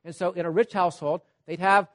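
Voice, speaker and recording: A man preaching a sermon, teaching with everyday examples, checking in with "Okay?" and swinging between loud emphasis and quiet asides. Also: high voice, steady tone, a little fast. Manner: repeats "Okay?" to check on listeners.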